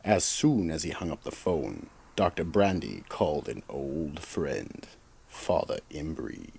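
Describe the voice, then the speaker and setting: A man's voice: a bass voice, animated, slow pace, creaky, darkish tone, slightly rough. A man narrating a scripted horror drama in an ominous, dramatic storytelling manner.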